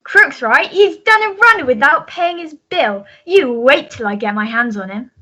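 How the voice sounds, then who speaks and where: Woman playing a shrill, indignant jilted sweetheart. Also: lively intonation, normal speed, bright, forceful tone. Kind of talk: amateur melodrama radio play acting.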